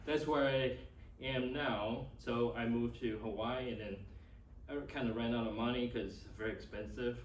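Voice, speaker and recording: A man's voice: medium pitch, fairly even intonation, normal speed. Taiwanese-accented man teaching in an echoey room. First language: Chinese. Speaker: class instructor. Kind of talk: informal teaching and personal introduction. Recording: echoey room, distant mic, faint noise.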